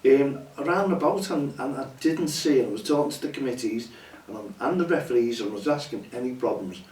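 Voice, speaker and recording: A hoarse man hosts a casual chat show, rambling and chatty with lots of fillers. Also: mid-range voice, fairly quick, darkish tone. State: hoarse, losing his voice. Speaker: show host. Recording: roomy echo, distant from mic, faint ambience.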